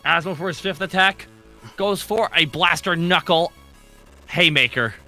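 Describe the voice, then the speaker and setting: A man playing a tabletop role-playing game, chatting casually and reacting in an excitable, exclamatory way. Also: on the high side, a little slow. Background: music.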